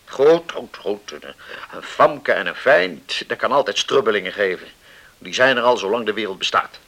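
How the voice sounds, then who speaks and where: A man playing a steady, contented middle-aged island bachelor in a Dutch radio drama, calm and plain-spoken as he reassures. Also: on the low side, normal speed, gravelly, exaggerated voice. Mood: reassuring.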